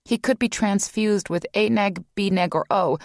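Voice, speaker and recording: A woman voicing a cheerful, businesslike hospital nurse who speaks in a bright, chirpy way. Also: mid-range voice, normal speed, no echo, clean studio sound, voice pushed up, natural voice.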